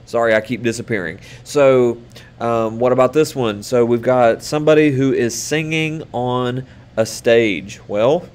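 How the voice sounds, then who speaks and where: A man's voice: mid-range voice, unhurried, a little mellow, clear, resonant tone. Patient man teaching young kids. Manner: patient, upbeat, many fillers. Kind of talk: online lesson for children. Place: small room indoors.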